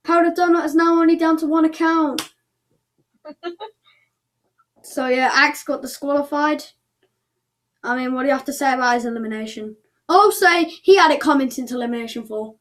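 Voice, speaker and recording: A woman's voice: high voice, normal speed, pauses often, fairly bright tone. A woman casually announcing results as the host of an online show, chatty and thinking aloud as she goes.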